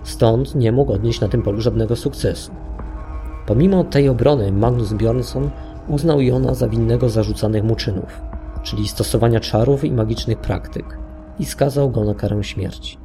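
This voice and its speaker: A man narrating a scripted historical audio tale in a measured, literary storytelling manner. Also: mid-range voice, mellow. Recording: narration over background music. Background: music.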